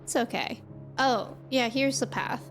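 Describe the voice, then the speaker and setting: A young woman streaming a game, chatting casually and excitedly with lots of "like"s. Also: fairly high pitch, measured pace. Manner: chatty, excitable, says "like" a lot. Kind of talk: casual gameplay commentary. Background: game music.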